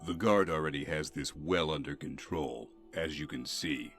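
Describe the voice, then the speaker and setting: Weary fantasy-game guardsman, extremely low voice, dry and fed up, music underneath. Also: moderate pace, fairly bright tone. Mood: exasperated. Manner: dry, exasperated, sighing. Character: weary, stern city guardsman, fed up with troublemakers. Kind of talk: video game voice acting.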